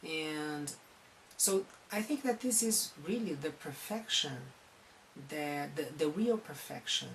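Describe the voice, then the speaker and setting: A counselor from Brazil speaking with a Brazilian accent, giving a recorded talk on psychology in an earnest, halting way and often restarting his phrases. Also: on the high side, expressive, a bit bright, slight background noise, poor audio.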